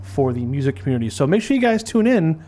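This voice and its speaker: A man's voice: a little high, very animated, mellow, slight background noise. A man hosting a radio show, joking wryly and plugging his own program in casual studio chat. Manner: joking, wry, quick asides.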